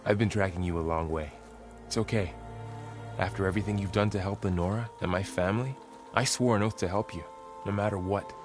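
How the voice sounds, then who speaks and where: A man acts as a loyal warrior companion in a video game, his voice slightly deep and natural. He speaks plainly, with steady earnestness and curiosity. Clear cinematic music runs underneath. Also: fairly quick, close to an everyday voice. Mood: earnest, devoted. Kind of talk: video game cutscene and exploration dialogue.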